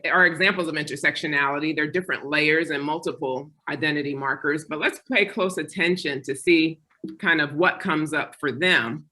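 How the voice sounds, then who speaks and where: A woman's voice: deep voice, sharp tone. A woman co-presenting a webinar, giving the audience instructions before a video is played. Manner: guiding, instructional. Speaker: workshop co-presenter.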